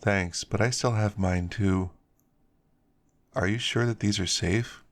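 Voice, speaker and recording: Man playing an easygoing, low-voiced male werewolf. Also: steady tone, takes it slowly. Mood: satisfied. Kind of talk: character dialogue in an ASMR story.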